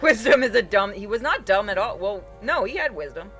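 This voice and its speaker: A man's voice: sits high, animated, brisk, bright, forceful tone. A man streaming a game with casual commentary, blunt, sweary and opinionated. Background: game music.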